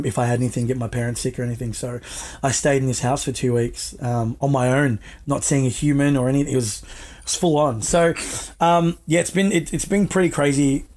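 A man's voice: medium pitch, darkish tone. An Australian man in his thirties, a guest chatting casually over a video call, rambling with lots of fillers. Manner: laid-back, rambling with many fillers. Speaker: podcast guest, wrestler and personal trainer. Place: small home room indoors. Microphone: video call, earphone mic.

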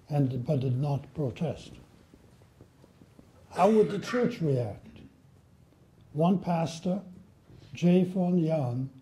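Older man, very dark and mellow tone, slightly high pitch, solemn measured lecture at a lectern. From Poland. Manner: measured, solemn, reads quotations slowly. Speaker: guest lecturer. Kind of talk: prepared history lecture. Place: library lecture room with audience. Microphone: at a lectern, filmed by a far camera.

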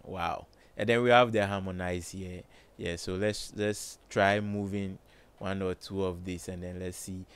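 A man with a low voice, slowly thinking aloud with many fillers while demonstrating music plugins in a home studio. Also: fairly even intonation, clear, resonant tone. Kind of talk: casual screen-recorded tutorial. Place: small home studio with colored lights.

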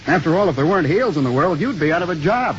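A slightly noisy, muffled old radio play from the 1950s. An actor plays a brash young landlord. His fairly high voice swings freely, glib and wry. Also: animated, quick pace, lightly acted. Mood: glib, disarming. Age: young adult.

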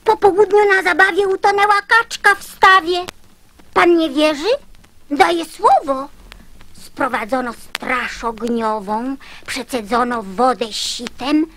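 A woman playing an excitable young girl telling outrageous tall tales in a radio verse drama. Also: high-pitched, slow, poor audio. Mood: excited, insistent.

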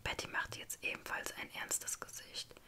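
A woman reading a dramatic story aloud as narrator, in a whispered ASMR style. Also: moderate pace.

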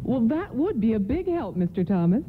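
A friendly, mannerly young family's mother in a radio drama. Her pitch moves in a lively way. The recording is phone-thin with faint noise. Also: normal speed. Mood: friendly, concerned. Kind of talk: radio play acting. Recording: thin phone-like band, noisy radio broadcast.

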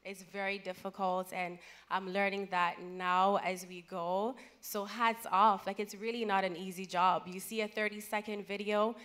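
A woman's voice: mid-range voice, little pitch movement, moderate pace, hardly any pauses, fairly bright tone. A woman in her thirties from Ghana, a guest on a conference panel, talking in a chatty, self-deprecating way into a handheld mic. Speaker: panel guest, content creator. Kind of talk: conversational panel talk. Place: large conference stage.

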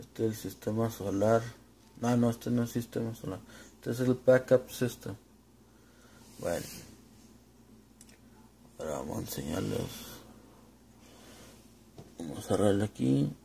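A man with a Mexican Spanish accent giving a casual, unscripted demonstration, informal and halting as he searches for words and drops in English. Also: lowish voice, vocal fry, warm tone, slight background noise. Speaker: home vlogger demonstrating gear.

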